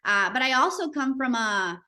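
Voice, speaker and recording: Bright-voiced woman from Nicaragua. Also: sits high, animated, normal speed. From Costa Rica and Nicaragua. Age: 30s. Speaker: podcast guest, entrepreneur. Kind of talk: interview conversation.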